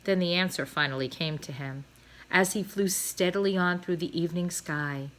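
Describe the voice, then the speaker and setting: Bright-voiced woman reading a storybook aloud. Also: lowish voice, moderate pace. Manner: dramatic, with emphasized sound words and brief asides. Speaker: storybook reader. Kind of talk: expressive read-aloud of a children's novel.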